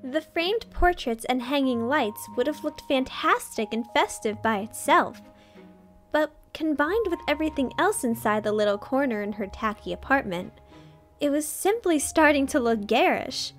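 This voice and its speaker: A woman's voice: high-pitched, expressive, moderate pace, soft background music. A woman reading a fan fiction story aloud as narrator, in a scripted storytelling style.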